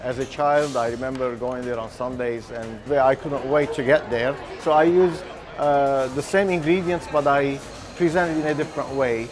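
A man's voice: medium pitch, normal speed. Man over background music. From Lebanon. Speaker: restaurant owner. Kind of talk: interview soundbite. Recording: music bed under voice.

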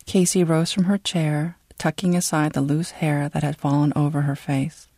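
A narrator reading literary fiction in a measured, dramatic storytelling way. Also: medium pitch, flat delivery, darkish tone, dry recording, clean studio sound. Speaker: audiobook narrator.

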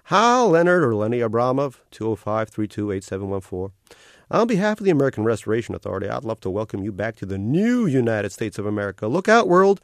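A man voicing a cheerful electronic male otter, a carnival-bright official with a sing-song, salesman's cheer. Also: on the low side, big pitch swings, fast, no echo, studio quality. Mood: chirpy. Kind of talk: audiobook character voice.

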